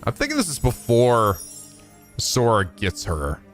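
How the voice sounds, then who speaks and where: A man's voice: medium pitch, wildly swooping pitch, a little slow, a bit bright. A man hosting a let's play, giving casual reaction commentary in a sweary, incredulous, jokey way. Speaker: let's play video host. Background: game cutscene music.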